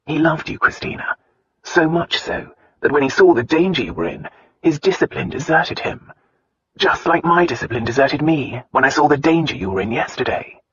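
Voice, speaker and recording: An actor playing a tender, commanding husband in a romance audiobook, speaking earnestly and insistently. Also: male voice, a little high, expressive, normal speed, fairly bright tone, muffled recording, a little hiss or hum. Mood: tender, knowing.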